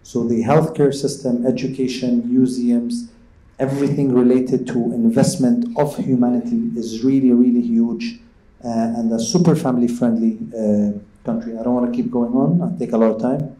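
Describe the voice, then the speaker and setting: Man with very dark, echoey voice. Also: medium pitch, steady tone, normal speed. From Qatar. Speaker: panelist, property developer. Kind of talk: panel presentation, semi-spontaneous. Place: conference panel, indoors. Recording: echoey room sound.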